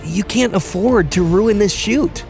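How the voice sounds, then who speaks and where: A man playing a calm, calculating aide in an audio drama, speaking persuasively. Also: high-pitched, unhurried, gravelly, faint music behind. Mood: coaxing.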